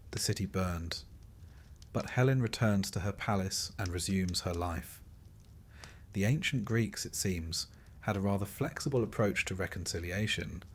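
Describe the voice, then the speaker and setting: Low-pitched man narrating a bedtime story. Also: a little hiss or hum. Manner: measured, deliberate phrasing with dry, wry understatement. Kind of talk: scripted sleep-story narration of Greek myth.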